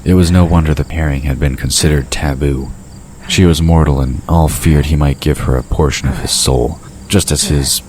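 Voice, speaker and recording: Male narrator, extremely low voice, scripted fantasy storytelling, faint night-insect noise behind. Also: darkish tone, low-quality recording.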